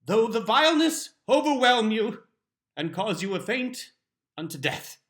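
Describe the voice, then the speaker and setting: Casting: man to play an exasperated, pompous patriarch for a comic mock-biblical reading; higher-set male voice with sharp, forceful edge, solemn and theatrical. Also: heavily acted. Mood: aggrieved. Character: stern exasperated father proclaiming household laws like biblical scripture. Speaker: reader performing a humorous essay. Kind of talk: dramatic comic reading in mock-scriptural language.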